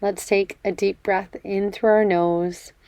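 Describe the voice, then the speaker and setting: A woman leading a guided meditation in a gentle, measured, soothing way, leaving pauses between phrases. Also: medium pitch, level tone, no echo. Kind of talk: guided meditation, body scan.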